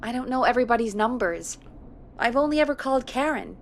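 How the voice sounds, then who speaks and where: An actress playing a frank, practical radio producer in a video game, talking in a matter-of-fact, slightly wry way. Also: female voice, on the high side, expressive, fast talker, slight background noise. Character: practical, frank woman radio producer in 1980s small town. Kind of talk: video game voice acting, conversational.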